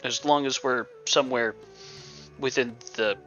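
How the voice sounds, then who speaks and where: A male game streamer commentates live with a wry, flippant manner. His voice is bright and forceful, at an average pitch. The sound is a little lo-fi, with faint game music behind. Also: fairly even intonation, unhurried. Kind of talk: joking live gameplay commentary.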